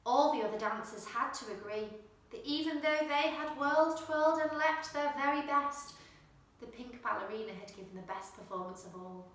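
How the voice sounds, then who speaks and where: Lady reading a kids story, bright emphatic narration, loads of room echo. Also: high-pitched, normal speed. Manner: animated, emphatic on action words. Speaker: children's storyteller. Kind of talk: expressive scripted storybook narration. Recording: very echoey room, distant microphone.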